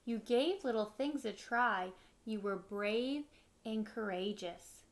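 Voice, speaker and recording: A woman's voice: a little high, takes it slowly, a little hiss or hum. Woman reading aloud to children. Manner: expressive storybook reading, simple explanations for children. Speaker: teacher reading a picture book aloud. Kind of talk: children's read-aloud with short explanatory asides. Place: living room at home, indoors.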